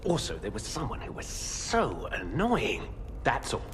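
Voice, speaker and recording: An actor playing a self-serving, cowardly schemer in a video game, evasive, hesitant and ingratiating. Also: male voice, medium pitch, huge pitch swings, unhurried, long reverb tail, a little hiss or hum. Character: shifty self-serving male hotel guest, cowardly schemer.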